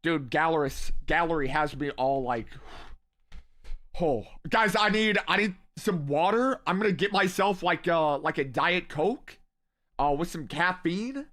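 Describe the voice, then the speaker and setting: A young man in his twenties hosting a live watch party, rambling excitedly to his chat and saying he is losing his voice. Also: on the high side, a little slow. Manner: hyped, rambling, talks to chat.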